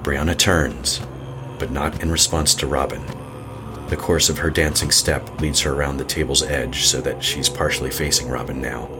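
A man narrating a scripted horror story in a measured, suspenseful manner. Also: extremely low pitch, steady tone, over music.

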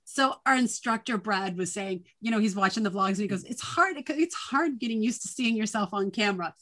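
A woman's voice: medium pitch, animated, a little fast, a bit bright. Guest: middle-aged woman, New England accent, chatty, laughs a lot. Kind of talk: casual conversation.

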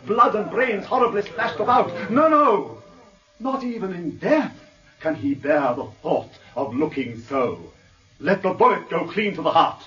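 An actor playing an eloquent defence barrister, declaiming a grandiloquent, theatrical courtroom oration in a radio drama. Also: male voice, high voice, fairly bright tone, full character voice. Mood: melodramatic. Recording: muffled, distant radio drama recording.

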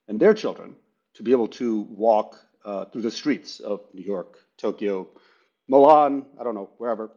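A middle-aged man from Bangladesh who grew up partly in Texas, explaining his views thoughtfully in an interview, in measured, broken-up phrases. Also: lowish voice, a little mellow. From Bangladesh, then Texas. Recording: dull, lo-fi sound.

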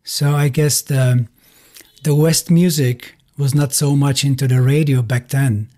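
A middle-aged man with a Bulgarian accent, speaking haltingly in non-native English as he tells his story in a casual interview. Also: medium pitch, steady tone, dark tone. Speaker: musician being interviewed.

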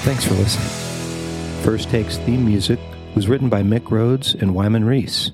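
A man's voice: on the low side, measured pace, over music. An American man reading a scripted news story as a podcast host and reporter.

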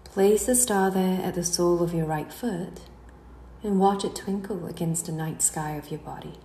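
A woman guiding a meditation in a slow, soothing delivery, letting the ends of her phrases trail away softly. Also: fairly low pitch. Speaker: guided meditation instructor. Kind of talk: slow guided relaxation narration. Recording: roomy echo, faint background noise.

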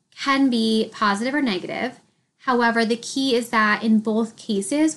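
Congested woman hosting a reflective podcast. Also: a little high. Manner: reflective and candid, with light laughs. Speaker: podcast host. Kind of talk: solo podcast monologue.

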